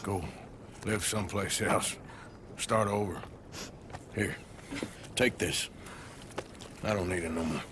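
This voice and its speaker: Weary, blunt American outlaw. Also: male voice, deep voice, slow, pauses often, noisy background. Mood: insistent, remorseful. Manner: blunt, drawling, plain-spoken. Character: weary, gruff outlaw man, guilt-ridden but protective. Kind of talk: cinematic video game acting.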